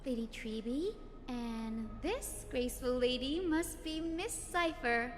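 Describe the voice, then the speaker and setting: Sweet earnest anime game girl, polite, kinda high, reverby. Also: expressive, takes it slowly, background noise. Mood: polite, friendly. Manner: courteous and sincere. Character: polite, earnest, gentle young healer woman in fantasy game. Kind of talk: voice acting for an anime-style game. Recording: reverberant game audio under clear music.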